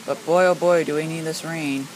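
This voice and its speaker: An excitable amateur storm watcher filming and commenting on the weather in exclamations, often repeating words for emphasis. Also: mid-range voice, moderate pace, poor audio. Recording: home video with rain noise behind. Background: heavy rain.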